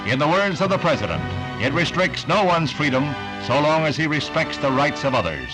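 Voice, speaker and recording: A man with an American accent narrates a 1960s newsreel over loud music. His voice sits a little high and moves with lively swings. The delivery is punchy, dramatic announcer work on a vintage soundtrack. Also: fairly quick, rough recording. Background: loud music bed.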